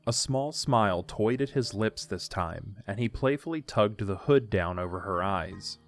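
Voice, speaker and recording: Lower-voiced man narrating fiction. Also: animated, unhurried, soft background music.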